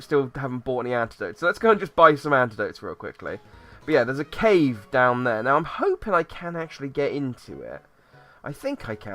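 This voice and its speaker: A man commenting on his own gameplay in a chatty, rambling way, thinking out loud as he goes. His voice is bright and forward, a touch hoarse from a recent cold, at a middling pitch. Faint game music runs quietly behind him. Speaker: let's play host.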